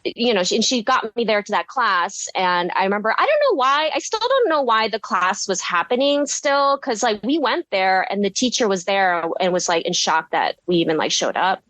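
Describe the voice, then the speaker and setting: Woman, thirties, rambling and giggly, filler-heavy, mid pitch, dry close sound. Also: lively intonation, moderate pace, hardly any pauses, fairly bright tone, dry recording. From United States. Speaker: guest ceramicist. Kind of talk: casual interview.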